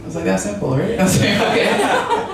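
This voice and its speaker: A young woman tells stand-up stories in a casual, giggly way, full of fillers and restarts. She sounds distant from the microphone in a very echoey room. A steady hiss of noise sits under her voice. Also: mid-range voice, moderate pace. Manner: chatty, laughs often, many fillers and restarts. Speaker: stand-up comedian. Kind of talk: stand-up comedy set. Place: barbershop comedy show. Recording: echoey room from far off, noisy.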